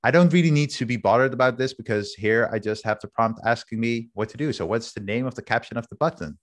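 A man's voice: mid-range voice, quick pace. A man in his thirties whose first language is Dutch, casually walking through a screen-share demo over a headset on a video call, thinking aloud with restarts. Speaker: workshop host. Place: home room, indoors. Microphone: headset with video call camera.

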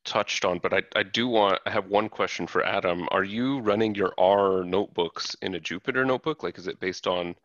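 Deep bright-toned man on a call. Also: a little fast, few pauses, no echo. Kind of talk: casual video call discussion. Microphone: video call. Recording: dry dull video call audio.

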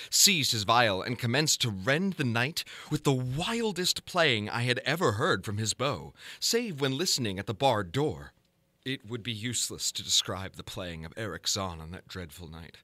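A man giving a dramatic reading of a gothic horror story in a theatrical, deliberate manner. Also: on the low side, expressive, bright, forceful tone, dry recording. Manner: theatrical, deliberate, ornate literary delivery. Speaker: narrator, audiobook reader.

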